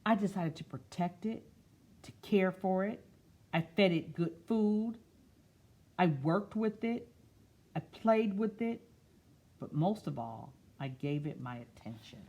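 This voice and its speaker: A woman reading a picture book aloud expressively, slipping into quieter, musing, conversational asides. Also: fairly low pitch, lively intonation, slow, pauses often, highs cut off. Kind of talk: expressive read-aloud with soft, chatty asides.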